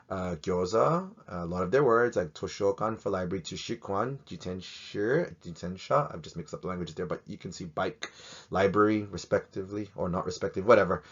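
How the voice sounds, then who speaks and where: Male teacher, low voice, jokey and chatty, dull lo-fi sound. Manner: chatty, jokey, asks many rhetorical questions. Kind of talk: casual educational talk to camera.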